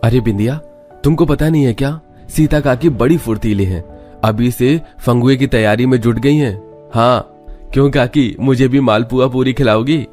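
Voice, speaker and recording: A man's voice: medium pitch, moderate pace, darkish tone, faint music behind, close to an everyday voice. A man playing a brash, breezy young village farmer in a cartoon story, talking in an emphatic colloquial way. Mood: teasing.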